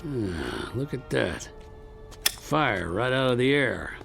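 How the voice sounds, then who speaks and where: Gravelly, defiant Old West outlaw. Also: male voice, mid-range voice, very animated, slow pace, fairly bright tone, soft background music, heavily acted. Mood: curious, wary. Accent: American Western drawl. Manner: folksy, plain-spoken frontier talk. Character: hard, defiant Old West outlaw killer, unrepentant and wary. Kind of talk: radio drama acting.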